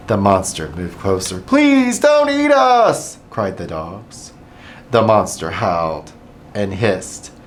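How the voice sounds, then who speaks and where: A middle-aged man, a school administrator, reading a picture book aloud to children in an animated, expressive way. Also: lowish voice, slight background noise.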